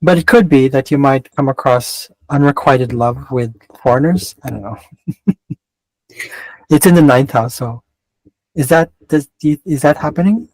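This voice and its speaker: A man's voice: mid-range voice, moderate pace, darkish tone, no echo, rough recording. Rambling man with a Canadian-West Coast accent. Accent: mixed Canadian and West Coast American. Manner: rambling, self-interrupting, digressive. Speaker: astrologer. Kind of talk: casual chart reading.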